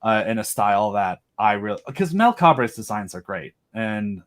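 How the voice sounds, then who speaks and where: A man chatting as a guest on a video call, excitedly rambling as a fan about his monster designs. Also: on the low side, normal speed. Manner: enthusiastic, rambling fan talk. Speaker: podcast host and audio drama creator. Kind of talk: casual video chat interview.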